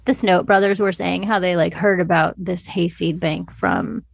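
A woman's voice: on the low side, steady tone, moderate pace, creaky, slightly rough, dry recording, studio quality. A woman co-hosting a film podcast, talking in a casual, conversational way. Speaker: podcast co-host discussing films.

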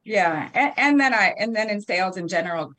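A woman's voice: medium pitch, lively intonation, fairly quick, a bit bright. A woman in her thirties talking casually as an interview guest, chatty with frequent laughs and fillers. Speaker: guest, marketing and PR company founder.

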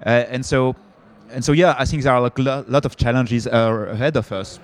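A man's voice: mid-range voice, fairly even intonation, normal speed. A man with a French accent speaking on a conference panel, talking with frequent fillers and restarts. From France. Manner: hesitant, many fillers and restarts. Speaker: panelist, company founder.

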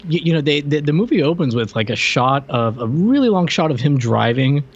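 A man's voice: fairly high pitch, animated, moderate pace, breathy edge, muffled recording. A man co-hosting a podcast, discussing films casually in loose, slangy, conversational phrasing. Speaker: podcast co-host.

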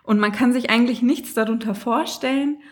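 A woman's voice: a little high, brisk. A woman in her late twenties, a podcast guest speaking candidly in casual chat. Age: 20s. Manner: candid, laughs easily.

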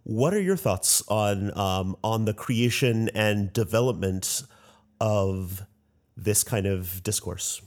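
A man moderating a roundtable, putting questions to the panel and picking his words haltingly. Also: fairly low pitch, darkish tone. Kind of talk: moderating a discussion.

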